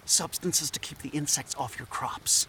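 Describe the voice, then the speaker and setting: An actor playing an earnest captive anthropologist, speaking haltingly and persuasively in an audio drama. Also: male voice, on the high side, bright, forceful tone, slight background noise. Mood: nervous, persuasive. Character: earnest scatterbrained male anthropologist, captive and pleading.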